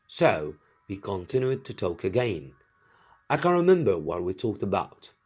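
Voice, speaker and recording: Male story reader, teacherly and deliberate, lowish register, highly varied intonation. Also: darkish tone. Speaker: English teacher reading a novel aloud. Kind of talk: scripted story narration.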